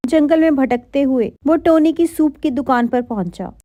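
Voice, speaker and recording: A woman reads a scripted story for children in a high voice. The tone is mellow, and the recording is dry and close. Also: normal speed, dry recording. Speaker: narrator.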